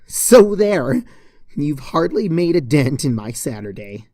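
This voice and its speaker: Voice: medium pitch, sing-song, slow pace, warm tone, no room sound. A reader voicing a flustered, snippy young female pony who gets indignant and defensive in a storybook reading. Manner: indignant, defensive, faltering when embarrassed. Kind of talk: storybook character voice in fan fiction reading.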